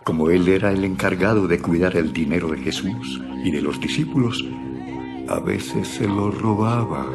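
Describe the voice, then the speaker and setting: Deep-voiced male Bible narrator. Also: moderate pace, over loud music. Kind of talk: scripted dramatized Bible narration.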